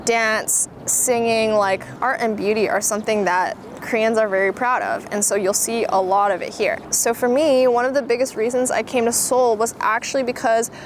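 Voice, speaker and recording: A woman's voice: a little high, steady tone, moderate pace, few pauses. Chatty young woman vlogging, noisy backdrop. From Canada. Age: 20s. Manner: chatty, upbeat, many "like" fillers. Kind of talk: casual vlog talk to camera.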